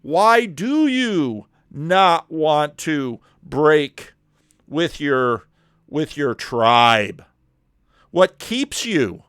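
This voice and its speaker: A man commenting on a video clip, talking in animated rhetorical questions with slow, deliberate emphasis. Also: a little high, clear, resonant tone, no echo, clean studio sound.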